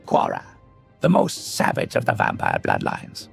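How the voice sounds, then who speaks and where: A man playing a commanding leader of fantasy adventurers, gravelly and authoritative, in audiobook dialogue. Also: measured pace, soft background music, natural voice. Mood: grim, resolute. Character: commanding male adventurer leading a party of vampire hunters.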